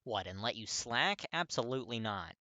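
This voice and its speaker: A man voicing a gruff, awkward teenage boy with a terse, blunt way of talking, in a story reading. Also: medium pitch, lively intonation, normal speed, pushed and bright, no echo. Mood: gruff, defensive.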